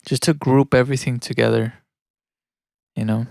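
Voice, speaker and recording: A man's voice: medium pitch, fairly even intonation, normal speed, vocal fry, darkish tone, no room sound, clean studio sound. A man from Mexico living in the United States chats casually and thinks aloud in a rambling, self-reflective way while producing music on a livestream. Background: electronic music.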